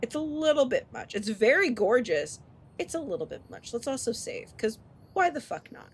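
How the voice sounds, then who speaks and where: A woman streamer reading a visual novel aloud and commenting casually, animated, expressive and free with swearing. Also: on the high side, moderate pace, breathy edge. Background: game music.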